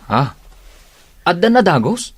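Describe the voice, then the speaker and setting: Teasing co-worker buddy, Ilocano accent, mid-pitched, swooping intonation, dry studio radio drama. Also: male voice, sing-song, takes it slowly, husky, dry recording, full character voice. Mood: curious, teasing. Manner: bantering, needling, exclaiming.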